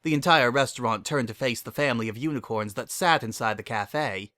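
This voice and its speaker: A man's voice: mid-range voice, a little fast, pushed and bright, no room sound, studio quality. A man giving a scripted, dramatic narration of a story.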